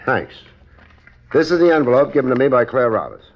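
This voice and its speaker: Wry laconic private eye, casual but very animated delivery, muffled 1950s radio show. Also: male voice, mid-range voice, a little fast. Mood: cool, teasing. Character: cool, wry male private investigator. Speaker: lead and narrator. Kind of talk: 1950s radio detective drama acting and narration.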